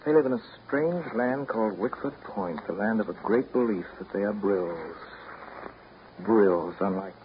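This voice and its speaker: An actor in a 1930s radio drama playing a weary, tender but blunt man, measured and wry. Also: fairly low pitch, natural voice. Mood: brooding. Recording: dull, noisy 1930s radio broadcast recording.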